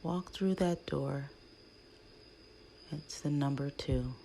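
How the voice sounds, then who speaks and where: Woman, meditation guide, very slow pace, dark soft tone, hushed and breathy, long pauses, faint noise behind. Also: low voice. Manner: hushed, soothing, with long pauses between phrases.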